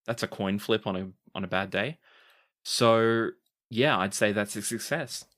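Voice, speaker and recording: A man with a low voice works as game master at a tabletop game. He improvises scene narration and calls for rolls in a conversational way. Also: unhurried, a bit bright.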